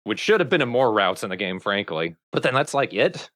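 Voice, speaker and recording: A man's voice: lowish voice, big pitch swings, brisk, fairly bright tone, no room sound. A man hosting a let's play, chatting casually about games in a wry, bluntly critical way. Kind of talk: casual gaming commentary with friends.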